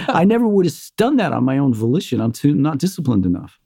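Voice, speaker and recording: A man being interviewed about his life as a musician, telling lively stories and slipping into the voices of the people he quotes. His tone is dark and mellow. The sound is dry and close. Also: on the high side, quick pace, creaky, slightly rough, no echo. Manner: animated storyteller, acts out quotes, many fillers. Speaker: guest, musician. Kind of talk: conversational interview storytelling.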